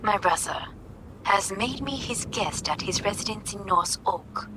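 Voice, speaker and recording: Cool, formal heiress, played by an actress, noisy ambience. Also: female voice, mid-range voice, animated, lightly acted. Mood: composed, restrained. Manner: measured, formal, uncontracted speech. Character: formal, composed corporate heiress, cool and commanding. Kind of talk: video game voice acting.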